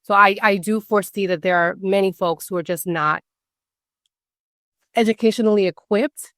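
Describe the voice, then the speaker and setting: A woman's voice: mid-range voice, normal speed, fairly bright tone, no room sound, studio quality. A woman speaking as a guest on a podcast, explaining her work as a financial social worker in a thoughtful, conversational way and often checking in with "right?". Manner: thoughtful, leans on "right?" tag questions and "like" fillers. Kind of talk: casual podcast interview.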